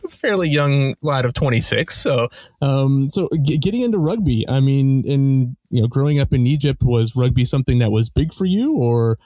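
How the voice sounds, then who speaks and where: A man's voice: mid-range voice, expressive, moderate pace, mellow, no room sound. Rambling man hosting from home. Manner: rambling, many restarts and fillers. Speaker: podcast host. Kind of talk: casual podcast interview. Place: home during a storm. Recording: home mic, dry.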